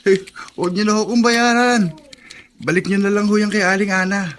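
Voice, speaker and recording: An actor playing a modest, sympathetic young construction labourer in a radio drama, polite and self-effacing. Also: male voice, sits high, steady tone, moderate pace, a bit bright. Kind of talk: naturalistic radio drama acting.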